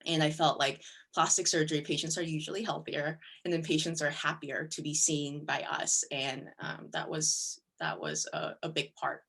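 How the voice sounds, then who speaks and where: Young woman, low pitch, from Korea, casual interview talk, filler-heavy. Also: lively intonation, moderate pace, gravelly, few pauses, fairly bright tone, slightly rough. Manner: chatty, many fillers like "you know" and "like". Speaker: plastic surgery resident.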